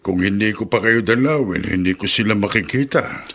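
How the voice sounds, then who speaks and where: A man's voice: lowish voice, moderate pace, fairly bright tone. A man playing a caring, hesitant yet insistent elderly Filipino father in a radio drama. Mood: concerned, probing. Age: older.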